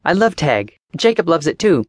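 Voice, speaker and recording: A bright, sing-song little boy, put on by a man narrating a story, chirpy and polite with a strange, eerie innocence. His high voice swings widely up and down, and it is recorded close and dry. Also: very animated, moderate pace, no room sound. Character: cheerful eerie little boy with an imaginary friend.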